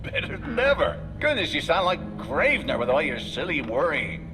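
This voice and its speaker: A cranky old American monster hunter for a western game. His delivery is blustering and folksy, swinging widely in pitch at a fairly high register. Music plays clearly behind him. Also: wildly swooping pitch, moderate pace. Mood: scornful. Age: older. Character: cranky, spirited aging father, a veteran monster hunter. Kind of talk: dramatic video game acting.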